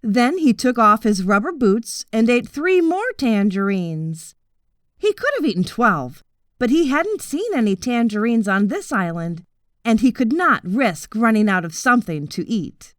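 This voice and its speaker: A woman narrating a children's story from a script in an expressive, deliberate storytelling manner. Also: on the high side, dry recording, studio quality. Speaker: audiobook narrator.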